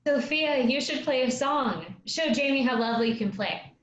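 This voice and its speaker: High-voiced blunt troupe woman played by an actress, echoey. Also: moderate pace, close to an everyday voice. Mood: conciliatory. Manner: blunt, sceptical. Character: distrustful, blunt young woman in travelling troupe. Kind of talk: staged play reading. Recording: echoey, muffled, hissy room recording.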